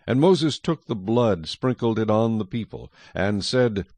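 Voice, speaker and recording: A man narrating scripture in a measured, solemn, scripted style for a dramatized audio Bible. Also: on the low side, expressive, a little mellow, dry recording.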